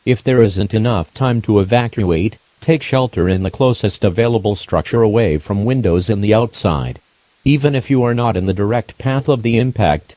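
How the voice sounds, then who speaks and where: A man reading a scripted emergency broadcast announcement in a formal, measured, impersonal way. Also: on the low side, dark tone, dry recording.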